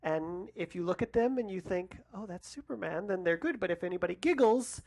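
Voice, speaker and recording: Female panelist, noticeable vocal fry, relaxed conversational style among friends on a podcast. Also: on the low side, a little fast. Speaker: podcast panelist, stage performer. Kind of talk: casual chat.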